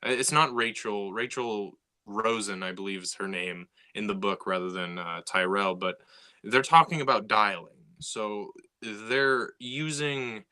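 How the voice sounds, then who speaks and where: Bright-voiced man in lively podcast chat. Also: on the low side, a little slow, smooth. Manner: animated, many restarts and repeated words. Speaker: podcast co-host. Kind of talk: casual podcast discussion.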